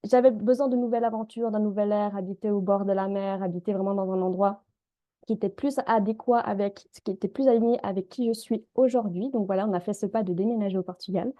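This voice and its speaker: A woman, an energy healer and coach leading a calm group healing session, speaking gently with frequent hesitations. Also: mid-range voice, quick pace, lo-fi sound. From Switzerland. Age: 30s. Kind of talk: live group healing session and guided prayer.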